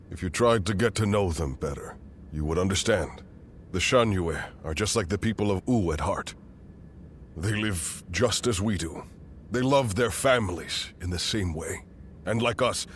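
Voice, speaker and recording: An actor playing an outspoken, principled veteran general of ancient China in a video game cutscene, speaking earnestly and deliberately. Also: male voice, lowish voice, a little hiss or hum. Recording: orchestral music with fiddle behind the voice.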